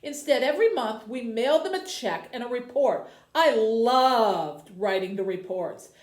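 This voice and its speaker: High, animated woman reading in a roomy space. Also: unhurried. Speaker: librarian host. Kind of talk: reading a children's novel aloud, then addressing young viewers. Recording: roomy, echoey indoor sound.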